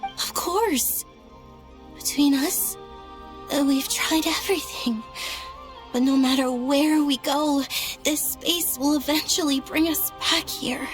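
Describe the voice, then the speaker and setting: A woman voicing a gentle, wistful young moon goddess in an anime game, soft and polite. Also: high voice, takes it slowly, pauses often, soft background music. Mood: curious, hesitant. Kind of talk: anime game cutscene acting.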